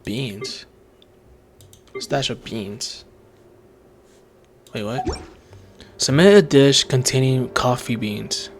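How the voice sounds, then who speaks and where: A man's voice: medium pitch, drawn-out words, pauses often, a little hiss or hum. A man giving casual gameplay commentary, muttering and repeating words to himself. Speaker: game streamer.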